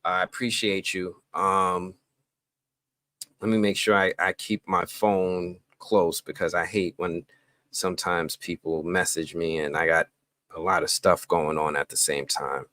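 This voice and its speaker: Bright, excitable man hosting a live stream. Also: deep voice, unhurried. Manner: excitable, voice jumps up when greeting people; relaxed slang-heavy talk with many fillers. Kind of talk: casual live-stream chat greeting viewers.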